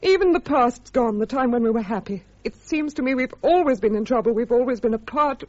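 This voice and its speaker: British radio drama: bitter, pragmatic officer's wife, brittle delivery, very expressive intonation, dull lo-fi sound. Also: female voice, a little high, fairly quick. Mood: weary, resigned. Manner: brittle, sardonic, resigned.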